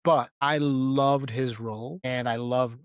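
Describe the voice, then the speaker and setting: A man's voice: mid-range voice, steady tone, takes it slowly, clean tone, dry recording, studio quality. A man hosting a podcast in a casual, conversational film discussion. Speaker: podcast co-host.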